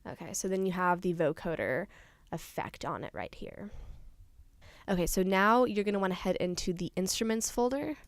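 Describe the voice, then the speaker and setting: A young adult woman presenting an informal software tutorial, explaining things casually step by step. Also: on the low side, expressive, normal speed, dry recording. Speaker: tutorial presenter, studio intern.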